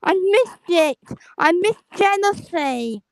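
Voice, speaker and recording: A young woman from the Caribbean calling in, talking in a halting, repetitive way. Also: extremely high pitch, animated, no echo. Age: 20s. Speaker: caller. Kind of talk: casual chat.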